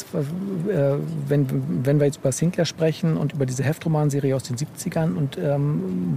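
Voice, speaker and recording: A man speaking as a novelist being interviewed, telling anecdotes casually in a halting way with repeated words and frequent question tags. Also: a little high, gravelly, mellow, a little hiss or hum.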